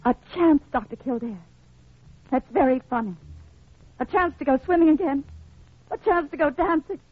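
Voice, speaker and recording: An actress playing a bitter, despairing young hospital patient with sardonic, melodramatic delivery in 1940s radio drama style. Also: female voice, high voice, animated, normal speed. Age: young adult. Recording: vintage radio recording, narrow band.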